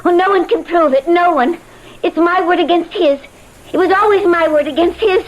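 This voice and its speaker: An actress playing a nervous, pleading, evasive widow, hesitant and melodramatic, in old radio drama style. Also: female voice, very high-pitched, moderate pace, muffled recording, crowd noise. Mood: pleading, defensive.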